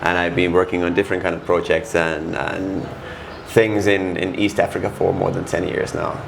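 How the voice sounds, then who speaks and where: Middle-aged Finnish man, Finnish accent, guest on an interview podcast. Very low, gravelly voice, frank and halting, lots of restarts, faint noise under him. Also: flat delivery. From Finland. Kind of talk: casual interview.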